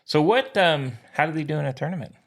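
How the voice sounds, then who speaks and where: A man's voice: fairly high pitch, lively intonation, quick pace. A middle-aged man co-hosting a sports podcast, bantering casually in a playful, teasing, colloquial way.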